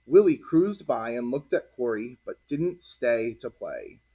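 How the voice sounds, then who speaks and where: A man reading a picture book aloud to children in an animated, husky voice. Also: mid-range voice, measured pace. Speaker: read-aloud storyteller. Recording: dull, lo-fi recording.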